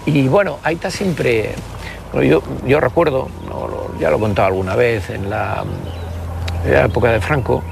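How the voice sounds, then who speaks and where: Older guy being interviewed, gravelly voice, lots of ums and restarts, traffic noise around. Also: medium pitch, normal speed. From Basque Country, Spain. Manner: reflective, many hesitations and restarts. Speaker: politician guest. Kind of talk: conversational interview.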